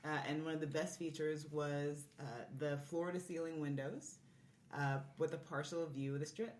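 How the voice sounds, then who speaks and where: A woman's voice: deep voice, fairly even intonation, moderate pace, darkish tone. A woman speaking as a podcast guest, telling her story in a casual, conversational way. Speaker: podcast guest, marriage and family therapist. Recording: echoey room, faint background noise.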